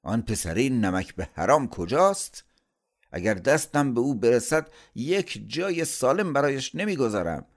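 Blustering, aggrieved uncle for a story reading, acted out by a man, middle-aged, angry and accusing, with very lively intonation. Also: mid-range voice, pitched above the performer's own voice. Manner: aggressive, indignant, accusing. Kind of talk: dramatised audiobook storytelling.

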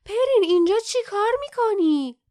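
Woman voicing an earnest, high-pitched young hero boy. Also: smooth, dry recording, clean studio sound, lightly acted. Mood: urgent, angry. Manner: earnest, emphatic. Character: impulsive young hero boy, hot-headed but caring.

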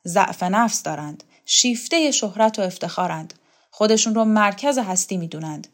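A weary old male rebel leader in a literary audiobook, acted by a woman narrator. He speaks gravely and cynically, a bitter sceptic who has little faith in others. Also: medium pitch, animated, natural voice.

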